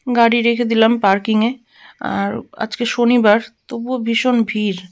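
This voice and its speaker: A woman's voice: on the high side, fairly even intonation, normal speed. A woman, narrating a casual family vlog and talking directly to her viewers in a conversational way. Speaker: family lifestyle vlogger.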